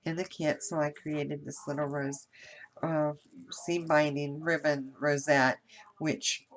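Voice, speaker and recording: A woman's voice: deep voice, slight background noise, poor audio. A nasal woman from Kentucky with a head cold, chatting casually through a craft tutorial with lots of fillers and restarts. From Kentucky, USA. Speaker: craft video host.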